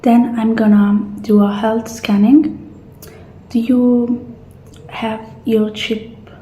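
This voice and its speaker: Woman acting as female futuristic clinic receptionist, ASMR roleplay, soft-spoken, gentle, polite, roomy echo, faint background hiss. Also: on the high side, level tone, dull audio. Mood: politely attentive. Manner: gentle, unhurried, reassuring customer-service talk.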